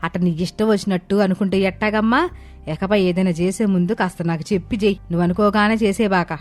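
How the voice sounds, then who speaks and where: A woman's voice: medium pitch, fairly even intonation, fast, soft background music, lightly acted. Woman playing a nagging, stingy older mother-in-law. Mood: stern scolding. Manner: nagging, sharp, accusatory. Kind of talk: dramatised moral story acting.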